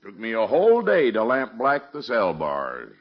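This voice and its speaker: A good-natured small-town police chief from old radio comedy. His voice is low and husky, with lively swings, on a muffled recording. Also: moderate pace. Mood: reluctant, agreeable. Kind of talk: 1950s radio sitcom acting.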